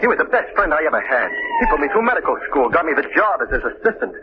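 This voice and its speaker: Brief: young doctor, earnest and emotional, animated pitch. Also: male voice, very fast, dull audio. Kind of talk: 1930s radio drama acting. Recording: noisy scratchy old radio recording.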